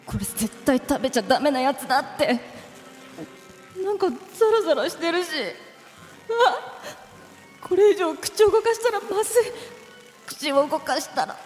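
A woman playing a sharp-tongued, wryly fatalistic young woman in a comedy radio drama. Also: very high voice, expressive, moderate pace, long pauses. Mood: disgusted. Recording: long reverb with background music.

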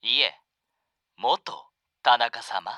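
A man's voice: medium pitch, pushed and bright, no echo, studio quality, full character voice. A man voicing a sly, overly polite double who has taken over another man's life, in an amateur radio drama. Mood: menacing calm. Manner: smooth, overly polite, slowly menacing.

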